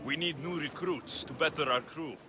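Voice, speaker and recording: A man's voice: a little high, moderate pace, noisy background, lightly acted. A man playing a bold, guarded mercenary warrior in a video game, with a cool, direct manner. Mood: questioning. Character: bold, wry Greek mercenary warrior, male, guarded and determined. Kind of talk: dramatic video game dialogue.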